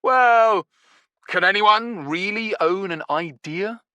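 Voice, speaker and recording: A male narrator doing a loud, smug show-off who keeps repeating himself in a storybook reading. The voice jumps up high and gets louder, all bluster and airy self-importance, in a bright, dry sound. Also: steady tone, brisk, dry recording. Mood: brash. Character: smug pushy young man, brash and loud.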